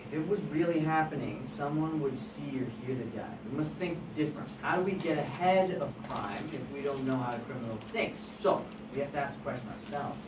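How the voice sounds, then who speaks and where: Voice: mid-range voice, brisk, hardly any pauses, lightly acted. An amateur actor at a table read playing a scheming, persuasive crime photographer with an intense, emphatic delivery. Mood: eager, excited. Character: scheming, persuasive crime photographer who stages fake scenes. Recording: distant, echoey, noisy room recording.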